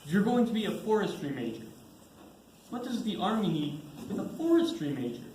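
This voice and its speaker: A man's voice: sits high, sing-song, fairly quick, darkish tone. A young man in a school play acting a brash, mocking teenage friend. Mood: incredulous. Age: young adult. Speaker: student actor in a one-act school play. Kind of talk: amateur stage acting. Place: indoor stage, recorded from the audience. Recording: echoey stage recording with faint noise.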